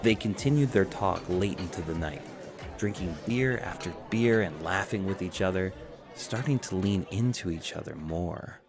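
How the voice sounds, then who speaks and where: Guy with a low voice reading fanfic narration, music going behind him. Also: moderate pace, crowd noise. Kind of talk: scripted fan fiction narration.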